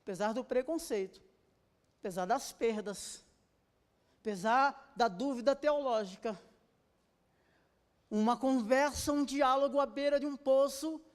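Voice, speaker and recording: A man from Brazil preaching a sermon as a pastor in a church, building to loud, slow, emphatic climaxes. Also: extremely high pitch.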